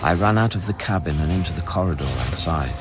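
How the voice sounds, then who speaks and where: An actor playing a grave, loving man in anguish in a radio drama, speaking in a low, measured, intimate way. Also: lively intonation. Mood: grave, haunted. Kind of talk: radio drama acting, first-person narration and dialogue. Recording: music under the voice. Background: music.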